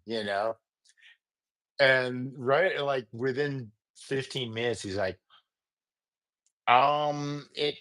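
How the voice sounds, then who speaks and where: Slow, deep-voiced rambling male host. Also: fairly bright tone. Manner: rambling, halting, full of fillers. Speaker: podcast host. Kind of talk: casual gear tutorial.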